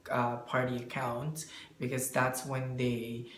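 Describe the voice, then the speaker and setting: Male interviewee, bookkeeper explaining business matters, halting delivery that searches for words, echoey room with faint noise. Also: medium pitch, completely flat delivery, fairly bright tone. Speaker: bookkeeper answering questions.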